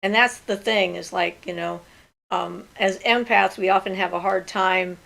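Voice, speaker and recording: A woman's voice: mid-range voice, steady tone, a little slow, vocal fry, fairly bright tone. An older woman hosting a livestream from home, chatting casually and answering viewers' questions as she thinks aloud. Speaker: host of a magic Q&A livestream.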